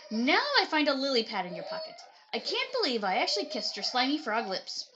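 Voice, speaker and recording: A woman's voice: high voice, big pitch swings, a little fast, lo-fi sound, noisy background. A woman voicing a nagging, exasperated fairy-tale princess in a picture book read-aloud. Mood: furious.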